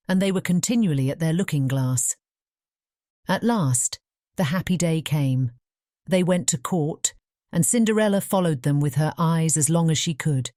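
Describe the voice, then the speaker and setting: A woman with a British English accent narrating a fairy tale audiobook in a measured storytelling manner. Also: low voice, warm tone, no echo, studio quality.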